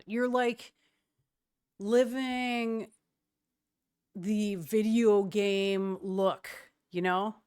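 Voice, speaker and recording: A woman's voice: medium pitch, clear, resonant tone, no room sound, clean studio sound. A young woman from Wisconsin with a slight Wisconsin accent, chatting casually with her stream's chat and laughing often.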